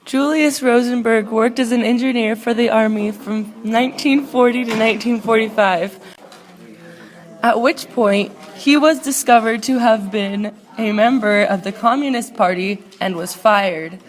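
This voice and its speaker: A woman reading scripted narration aloud as a student presenter, halting and now and then stumbling over words. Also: a little high, low-quality recording.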